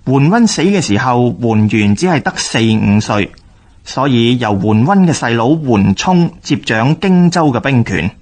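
A man with a Hong Kong Cantonese accent reads scripted historical radio narration in a formal, measured way. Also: medium pitch, very animated, a little mellow.

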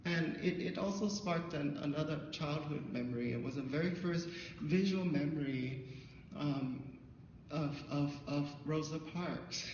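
A middle-aged man from Thailand whose first language is Thai, telling a personal story at a symposium. He talks through a handheld mic in a dim hall, haltingly, with many fillers and restarts. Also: a little high, a little mellow, slight background noise. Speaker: artist presenter. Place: dim hall with projector screen. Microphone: handheld microphone, filmed from afar. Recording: distant, echoey, dull hall audio.

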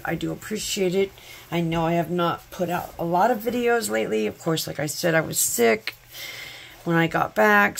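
A woman's voice: lowish voice, gravelly. A woman hosting her nail channel, congested from a cold, chatting effusively and thanking her viewers while she unboxes products at home. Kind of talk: sponsored unboxing vlog. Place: home nail studio, table top.

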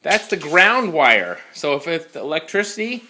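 A father filming his young son in a basement, chatting playfully and asking gentle questions. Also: a little high, sing-song, normal speed, noisy background. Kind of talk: casual home-video chat with a child. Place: concrete-block home basement, indoors. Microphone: handheld camera held by the speaker.

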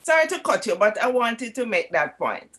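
A woman's voice: on the high side, sing-song, moderate pace, a bit bright, slight background noise. An older Jamaican woman moderating a panel over a video call, cutting in with emphatic points. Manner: interjecting, emphatic. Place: home room with bookshelves.

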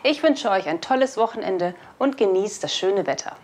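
A woman's voice: mid-range voice, animated, normal speed, very noisy. A young woman reading scripted news as a video presenter, on a lapel mic. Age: young adult.

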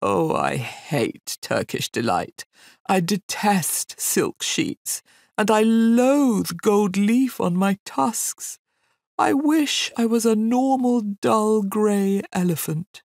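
A woman's voice: rumbling low, sing-song, slow, no room sound, studio quality, full character voice. A woman voicing a gloomy, lovesick male elephant in a children's story, mournful and grandly theatrical, drawing out his complaints.

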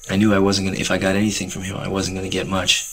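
A young man speaking earnestly and calmly about selling books to travelers, with faint hiss from old film audio. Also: sits low, very fast. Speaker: book distributor. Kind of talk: reflective commentary over footage.

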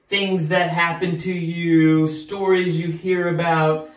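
A man in his thirties, a children's author chatting to kids in an animated storytelling style. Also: fairly high pitch, roomy sound.

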